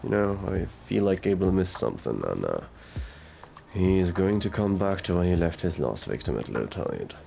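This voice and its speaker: A man playing a gruff, sardonic detective in an audio roleplay drama, drawling and murmuring through his pauses. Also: sits low, very animated, warm tone, full character voice. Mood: probing. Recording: music behind the voice.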